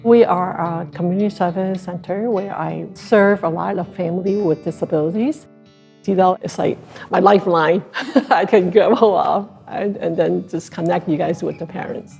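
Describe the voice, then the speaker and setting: A woman's voice: on the low side, moderate pace, gravelly, darkish tone, soft background music. A woman social worker giving a short testimonial in an interview.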